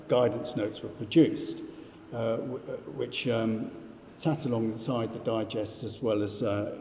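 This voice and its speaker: A man's voice: medium pitch, dark tone. Guy presenting at a conference, dry asides, lots of ums, big hall with long reverb and faint room noise. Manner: halting, many fillers, dry asides. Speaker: panel speaker.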